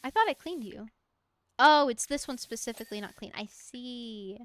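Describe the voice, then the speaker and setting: A woman's voice: on the high side, lively intonation, moderate pace, no room sound. A young woman streaming a game from home, chatting casually in a rambling, giggly way and talking to objects in the game. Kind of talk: casual chat while gaming.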